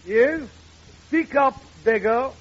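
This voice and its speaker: A dull vintage radio recording of a haughty butler. The voice is high and rough-edged. He is curt and full of disdain, in 1940s comedy style. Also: slow, heavily acted. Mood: disdainful. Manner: snooty, curt.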